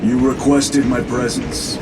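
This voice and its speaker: An actor playing a stoic, commanding veteran space-marine lieutenant in a video game, terse and formal. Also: male voice, mid-range voice, fairly even intonation, takes it slowly, over loud music, lightly acted. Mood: stern, restrained. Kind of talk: video game cutscene acting.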